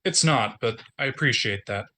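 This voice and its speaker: Actor as a bright-voiced, deadpan, dejected man. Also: mid-range voice. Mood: apologetic. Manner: deadpan, halting, wry. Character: dejected, sardonic man down on his luck. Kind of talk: script table read, naturalistic acting.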